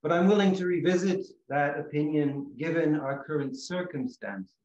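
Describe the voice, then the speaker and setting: A middle-aged man, an Orthodox priest, giving a sermon in a measured, earnest way with emphatic phrasing, recorded in a small room. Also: a little high, a little mellow. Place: small room chapel in a house, indoors. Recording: echoey room, slightly dull sound.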